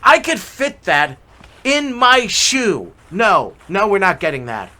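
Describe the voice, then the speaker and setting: A man's voice: sits high, huge pitch swings, normal speed, bright, forceful tone, pitched above the performer's own voice, full character voice. A man voicing a stubborn father who teases and jokes as he argues. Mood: teasing. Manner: teasing, playful.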